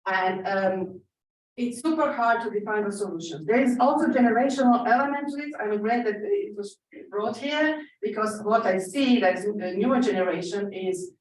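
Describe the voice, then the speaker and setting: A woman from Croatia, speaking as a conference panelist and pausing often on fillers and restarts. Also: mid-range voice. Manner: hesitant, many fillers and restarts. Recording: echoey room, distant mic, faint noise.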